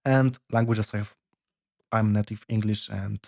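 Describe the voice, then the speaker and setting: A young man with a Polish accent giving casual career advice, halting and full of fillers and restarts. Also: on the low side, steady tone, vocal fry, a little mellow. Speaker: software developer vlogger.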